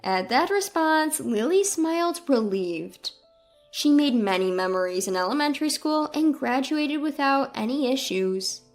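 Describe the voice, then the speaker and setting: High-pitched woman narrating a scripted story. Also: lively intonation, unhurried, soft background music.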